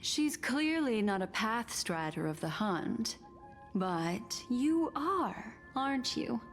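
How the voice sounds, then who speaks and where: A woman's voice: a little high, very animated, slow, heavily acted. A woman voicing a poised, enigmatic keeper of memories in a video game, composed, formal and probing. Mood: calm, probing. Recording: long reverb, music behind the voice.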